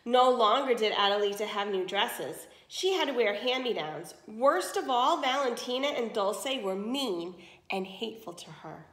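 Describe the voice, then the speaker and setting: A woman's voice: a little high, animated, moderate pace, fairly bright tone, echoey room. A woman reading a picture book aloud to children like a teacher, explaining as she goes and checking understanding. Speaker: read-aloud storyteller, teacher.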